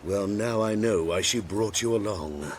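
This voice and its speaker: A man acting as a haughty grain merchant in a fantasy game, with a lowish voice. His speech is formal and smug, oily and shifty, over faint ambient noise. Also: unhurried, lightly acted. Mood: smooth, haughty.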